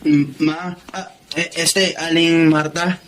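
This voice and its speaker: Eager-to-please Filipino young husband, polite, hardworking, radio drama acting, slightly high male voice. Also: flat delivery, takes it slowly, fairly bright tone, slight background noise. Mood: respectful. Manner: polite, deferential.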